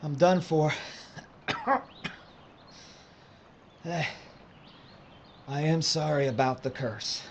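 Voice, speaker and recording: An actor playing a frightened, wounded male gunfighter who talks slowly and hesitantly. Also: a little high, full character voice. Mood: dying. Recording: dull audio with clear background noise.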